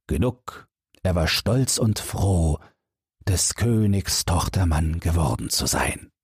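A man narrating a fairy tale for bedtime as an audiobook reader, with measured, deliberate phrasing. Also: sits low, expressive, breathy edge, no room sound, clean studio sound.

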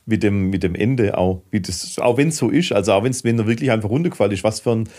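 A man's voice: fairly low pitch, quick pace, a little mellow. A middle-aged man from southwest Germany chatting casually as a podcast guest, enthusiastic and often rambling as he restarts his sentences. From southwest Germany (Heilbronn area). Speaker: interview guest, filmmaker.

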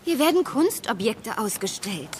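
A woman's voice: on the high side, sing-song, normal speed, a little hiss or hum. A woman playing a clever, polite young girl adventurer who explains things thoughtfully in a children's audio drama.

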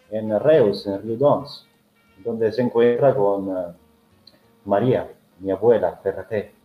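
Middle-aged Italian-accented man, Italian native, hesitant storyteller, roomy and muffled recording. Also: lowish voice. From Italy. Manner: hesitant, reflective, many filler sounds. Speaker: guest. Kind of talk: personal storytelling in an interview.

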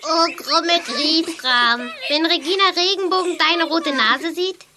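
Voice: very high-pitched, measured pace, noisy background. An actor voicing a friendly, childlike storybook character in a children's audio play. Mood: cheerful, caring.

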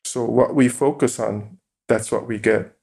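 A man as a podcast guest, calmly explaining his view with examples and rhetorical questions. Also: medium pitch, measured pace, vocal fry. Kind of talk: interview conversation.

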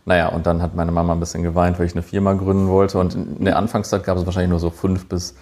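A man's voice: low-pitched, flat delivery, fast, a little mellow. A man, interviewing in a casual, chatty, informal way. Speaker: interviewer, fellow founder.

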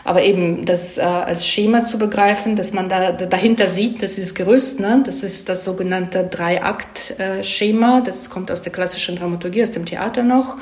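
Echoey, halting woman explaining her craft. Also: mid-range voice, fairly even intonation, a little mellow. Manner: halting, digressive, often adds questioning tags. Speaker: screenwriter as interview guest. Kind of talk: conversational explanation of storytelling craft. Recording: echoey room.

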